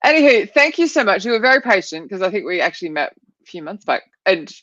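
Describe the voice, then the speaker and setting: Australian woman host, animated pitch, dry slightly dull call sound; chatty and jokey. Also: quick pace, no room sound. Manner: lively, laughs often, jokey. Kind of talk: casual chat.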